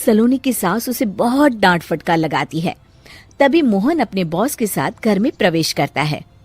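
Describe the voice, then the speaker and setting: A woman's voice: medium pitch, very animated, normal speed, husky, no room sound, faint music behind. A woman narrating a cartoon story from a script.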